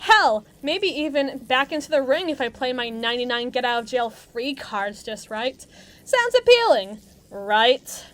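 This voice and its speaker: A mean, cocky female villain's henchwoman voiced by a woman for an audio roleplay. Her voice is high and swings widely from note to note. She talks tough and slangy, mocking the listener with theatrical sarcasm. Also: sing-song, a little slow. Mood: sarcastic, jaded. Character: brash, mean female villain henchwoman, muscle for evil agency. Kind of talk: acted monologue roleplay addressed to listener.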